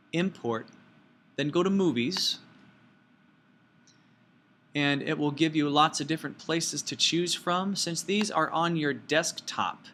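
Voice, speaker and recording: A man giving informal step-by-step software instruction as a teacher, with folksy, playful phrasing. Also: a little high, a little hiss or hum. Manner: folksy, playful asides and sound words.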